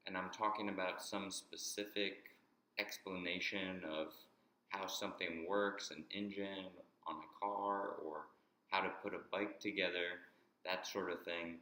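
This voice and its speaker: Low voiced guy explaining stuff, kinda halting, lots of restarts, bright edgy tone, echoey room. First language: English. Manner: halting, with frequent restarts and repeated words. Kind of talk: explanatory monologue.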